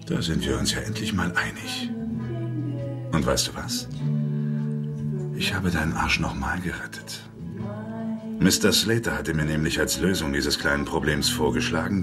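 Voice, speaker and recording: An actor playing a sarcastic, threatening male crime enforcer in a radio drama, sneering with controlled menace. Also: a bass voice. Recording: prominent music under the voice.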